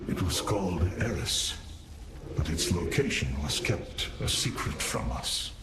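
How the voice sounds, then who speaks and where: A man voicing a solemn alien warrior in a video game, measured and formal in delivery. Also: deep voice, lively intonation, vocal fry, full character voice. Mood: solemn, grateful. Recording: echoing, noisy game audio.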